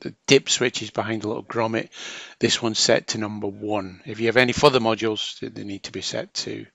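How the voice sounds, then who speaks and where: A man's voice: lowish voice, vocal fry, a bit bright, no room sound. A man narrating a hands-on technical tutorial as a trainer, explaining informally step by step.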